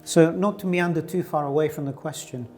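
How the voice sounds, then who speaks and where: Middle-aged male artist guest, from Greece, musing and unhurried, faint music bed. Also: a little high, fairly even intonation, a little mellow. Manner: thoughtful, searching, with many fillers and restarts. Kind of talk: reflective interview answers.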